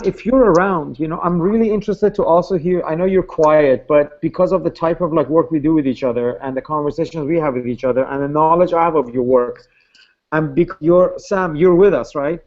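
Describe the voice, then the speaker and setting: A man's voice: fairly high pitch, fairly quick, darkish tone, muffled recording. A man speaking casually in an online seminar discussion, full of fillers and asking "right?" often. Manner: casual, filler-heavy, many rhetorical "right?". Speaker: seminar participant.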